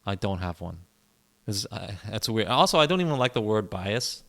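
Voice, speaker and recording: A man's voice: fairly low pitch, very animated, moderate pace, vocal fry, a bit bright. A man co-hosting a casual podcast chat, opinionated and rambling, often restarting his sentences. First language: English. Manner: opinionated, rambling, repeats himself, frequent restarts. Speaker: podcast co-host.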